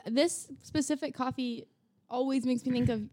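A woman co-hosting a podcast in relaxed, rambling morning chat, still a little groggy and full of filler words. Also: high-pitched, moderate pace, no echo, clean studio sound. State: just waking up, not yet clear-headed. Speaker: podcast co-host. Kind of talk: casual chat.

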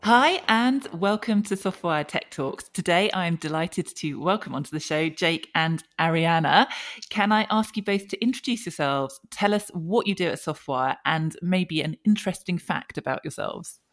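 Low-voiced woman hosting a podcast. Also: expressive, normal speed. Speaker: podcast host. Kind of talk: hosting an interview.

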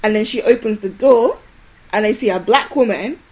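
Guest, woman, London accent, fairly high voice, casual, self-deprecating. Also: low-quality recording. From Portugal. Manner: quick to laugh, self-deprecating. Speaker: podcast guest. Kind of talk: casual podcast chat.

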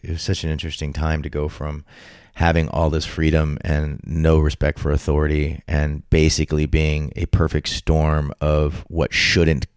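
A man's voice: very deep voice, completely flat delivery, moderate pace, hardly any pauses, a little mellow, clear, resonant tone, dry recording, clean studio sound. A man, telling a personal story in a casual chat with lots of restarts. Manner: storytelling, frequent restarts and acted-out quotes. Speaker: podcast guest.

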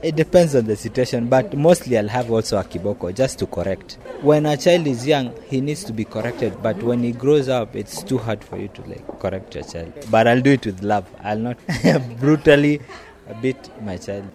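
A man's voice: medium pitch, expressive, normal speed, a little mellow. A young man from Tanzania with a Tanzanian accent, chatting casually as a street interviewee. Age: young adult.

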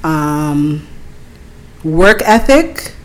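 A woman DJ answering interview questions casually, relaxed and hesitant, often pausing on fillers. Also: sits low. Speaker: DJ guest.

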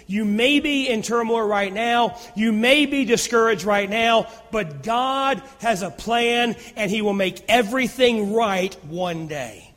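A man preaching a sermon as pastor, with a naturally loud voice that swings from fervent emphasis to hushed near-whispers. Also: high-pitched, big-room sound.

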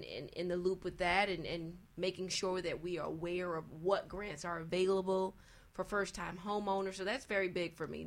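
A woman speaks as a panelist on a talk show in a slightly low voice. Her delivery is conversational and unscripted.

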